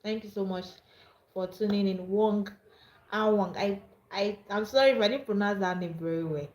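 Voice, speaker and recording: A woman's voice: mid-range voice, normal speed, a little mellow, echoey room. A Nigerian woman hosting a live-streamed advice talk, speaking earnestly and often repeating and restarting her words. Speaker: livestream host.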